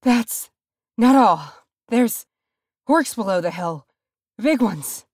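A woman's voice: on the high side, expressive, takes it slowly, a little mellow, dry recording, studio quality, voice pushed up, heavily acted. A woman voicing a frantic male dwarf in a fantasy story, out of breath and gasping out urgent broken phrases. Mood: breathless alarm. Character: frantic young male dwarf, panting with alarm.

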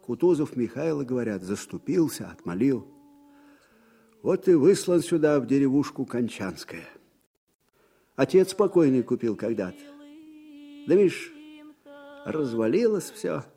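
An actor playing an old, disgraced field marshal, proud and wistful, in a folksy, musing manner for a radio drama. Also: male voice, medium pitch, sing-song, measured pace, pauses often, mellow, slightly rough, exaggerated voice. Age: older. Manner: folksy, musing, swinging from murmurs to ringing declamation. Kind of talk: Soviet radio drama acting.

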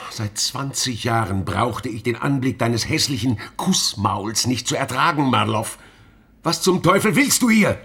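Husky, contemptuous Soviet cop, animated pitch, menacing radio crime drama delivery. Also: male voice, a little slow, exaggerated voice. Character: sour, hostile, threatening Moscow police inspector. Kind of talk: hardboiled German radio crime drama acting.

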